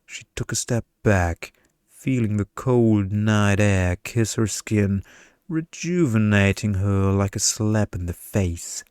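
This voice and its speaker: A man reading a story in ASMR bedtime style, with a measured, deadpan delivery. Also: on the low side, clear, resonant tone, no echo, studio quality.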